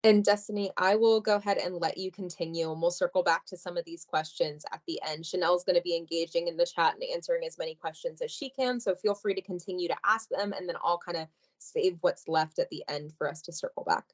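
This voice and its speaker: A woman moderates a webinar over a video call and keeps things organized. Her voice sits fairly low, and she hands off smoothly between speakers. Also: steady tone, fast talker, few pauses. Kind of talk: webinar presentation.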